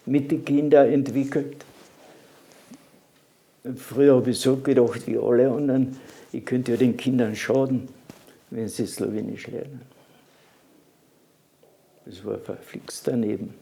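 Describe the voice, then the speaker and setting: An elderly man with a Carinthian accent remembers his past in an unhurried interview. His voice is dark and mellow, with a heavy gravelly fry. He often stops mid-phrase and starts over, and his first language is Slovene. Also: mid-range voice, fairly even intonation. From Carinthia, Austria. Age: 80s. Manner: halting, with restarts and fillers. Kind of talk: reminiscing interview.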